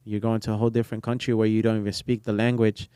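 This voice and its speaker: A young man with Portuguese as his first language chats easily about his barbering travels, his low voice smooth and resonant. He wanders through his thoughts with fillers and restarts. The sound is close and dry. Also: very fast, no echo. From Brazil. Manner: relaxed, rambling with many fillers. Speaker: barber guest. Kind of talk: casual interview chat.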